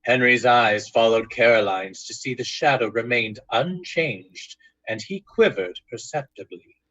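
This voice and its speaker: Male narrator, husky, mid-pitched, dramatic and deliberate, old-time radio suspense, muffled recording. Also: lively intonation, a bit bright, no room sound.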